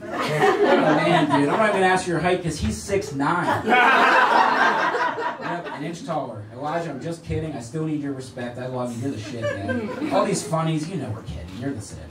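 A young male stand-up comedian teases the crowd in an excitable, laughing way, his voice pitched high and swinging widely. He is heard through a handheld mic from a distance in a roomy little club. A loud audience laughs all around him. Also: normal speed, very noisy. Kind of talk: crowd work. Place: small comedy club stage, indoors.

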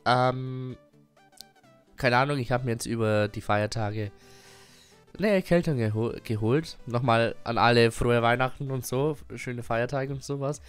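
A man's voice: medium pitch, steady tone, unhurried, clear, resonant tone, faint music behind. A young man with a cold giving a casual, rambling channel update with many fillers and little laughs. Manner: rambling, many fillers and restarts, frequent chuckles. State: has a cold. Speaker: gaming YouTuber and streamer.

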